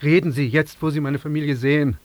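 A man's voice: fairly high pitch, fairly even intonation, brisk, no room sound, lightly acted. An actor playing a bereaved, bitter father in a radio drama, accusing and insistent. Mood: insistent grief. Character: bereaved foreign father, bitter, demanding an apology.

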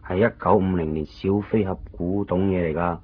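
Actor as a low, gruff fixer. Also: male voice, steady tone, fairly quick, natural voice. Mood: brisk, instructing. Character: gruff, worldly male fixer looking after a friend. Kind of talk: radio drama dialogue. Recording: dull 1990s radio recording, faint noise.

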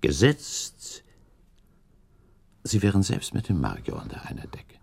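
Radio play count: deep creaky voice, shrewd, suspicious, politely provocative. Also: male voice, wildly swooping pitch, darkish tone, full character voice. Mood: sly, insinuating. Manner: pointed, courteous, deliberate. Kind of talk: German radio drama acting, formal literary dialogue.

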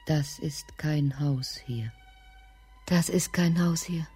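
A woman playing a grave, detached narrator in a radio drama, measured and deadpan, speaking in fragments. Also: sits low, soft background music. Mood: grave, intense. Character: grave, detached narrator reciting scene and inner fury.

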